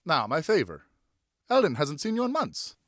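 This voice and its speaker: Guy acting as a smooth-talking grape grower in an audiobook, coaxing, pitch up and lively. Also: normal speed, dry recording, studio quality, exaggerated voice. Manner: coaxing, salesmanlike. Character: persuasive male vineyard owner with gimpy legs. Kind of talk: audiobook character dialogue.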